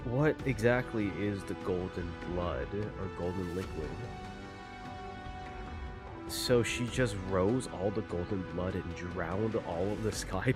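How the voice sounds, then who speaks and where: Deep voiced guy reacting to a game, theorizing out loud, faint music behind. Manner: thinking aloud, repeats and rephrases. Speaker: YouTuber reacting to a game story. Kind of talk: casual reaction commentary, theorizing.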